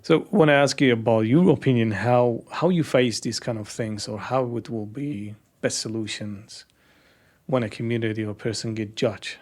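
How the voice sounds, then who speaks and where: A man's voice: mid-range voice, moderate pace, darkish tone. A man hosting an interview in a language that is not his first, often restarting and searching for words. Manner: searching for words, non-native grammar. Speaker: host. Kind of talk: interview questions.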